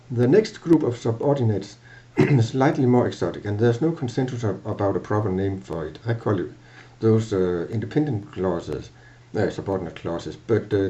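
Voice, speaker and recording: An older man with a Danish accent explaining grammar in a halting, self-correcting way, filmed at a webcam in a small office. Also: mid-range voice, mellow, dull audio. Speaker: language teacher. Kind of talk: lecture to camera.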